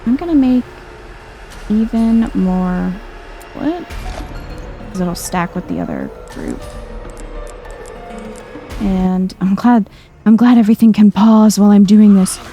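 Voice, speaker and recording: A woman softly narrates her video game as she plays, chill and thinking out loud. Her voice is mellow with a creaky, gravelly edge, and she takes her time. The ASMR-style commentary stays low-key. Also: sing-song, takes it slowly, long pauses, faint music behind. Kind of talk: soft-spoken ASMR game playthrough commentary.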